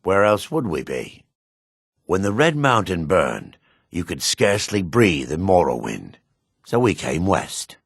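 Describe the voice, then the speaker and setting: An actor playing a jaded, sardonic male dark elf in a fantasy video game, with a husky voice. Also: sits low, big pitch swings, unhurried, no room sound, studio quality, heavily acted. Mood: bitter, sardonic. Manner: sardonic, disdainful. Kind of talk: video game character voice acting.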